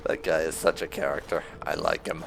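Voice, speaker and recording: A man's voice: extremely low pitch, level tone, brisk, gravelly. A man giving casual let's play commentary as a gaming host, musing aloud as he goes. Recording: game music behind the voice.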